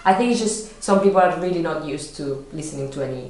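A woman in her thirties with a strong Spanish accent and a low, deep voice chats casually as a guest, easygoing and full of "like"s. She sits in a bright room indoors, and the camera mic picks up a very echoey space around her. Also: very fast. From Spain. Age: 30s. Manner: relaxed, laughs easily, many fillers like "like". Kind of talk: casual interview chat.

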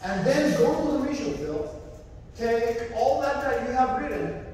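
A man's voice: very high voice, animated, unhurried, a little mellow, a little hiss or hum. A young man from El Salvador with a Salvadoran Spanish accent, a missionary preaching a sermon and telling stories while pacing and gesturing. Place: large church fellowship hall. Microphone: far camera, no mic. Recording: echoey hall from far off.

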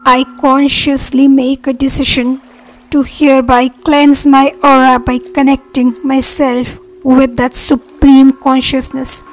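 A woman leading a guided meditation with affirmations, speaking earnestly in measured, separate phrases. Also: sits high, level tone, poor audio. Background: meditation music.

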